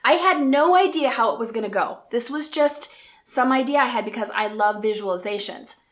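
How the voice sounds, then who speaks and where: A woman in her forties telling a personal story in an earnest, reflective and gentle way. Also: a little high, animated, moderate pace. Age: 40s. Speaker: speaker and coach.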